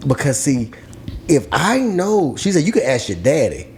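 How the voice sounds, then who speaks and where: A man co-hosting a casual podcast, telling stories loudly and animatedly with plenty of swearing. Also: fairly high pitch, normal speed, a little hiss or hum. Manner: animated, loud storytelling with profanity. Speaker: podcast co-host. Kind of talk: casual chat.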